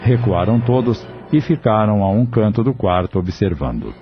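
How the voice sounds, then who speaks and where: A man narrating an audio novel in a measured, grave manner. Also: fairly low pitch, big pitch swings. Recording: muffled lo-fi audio with music.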